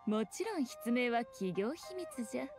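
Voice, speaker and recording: A haughty fox shrine maiden, high-pitched and composed. She speaks in lordly, archaic phrasing like a sly game character who knows more than everyone, over soft music. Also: big pitch swings, a little slow. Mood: composed, authoritative. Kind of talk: scripted video game dialogue.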